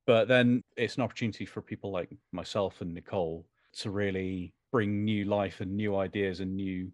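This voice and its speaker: A man with a deep voice speaks as an interview guest, recorded dry and close. He answers conversationally, choosing his words in a considered, diplomatic way. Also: fairly even intonation, normal speed, no room sound.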